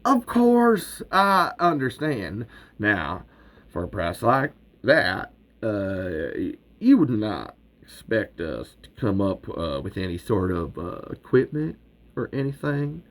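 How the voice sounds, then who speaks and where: A man reading out a screenplay, voicing an excitable scheming male hustler who pitches high and loud. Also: takes it slowly, full character voice. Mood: stalling.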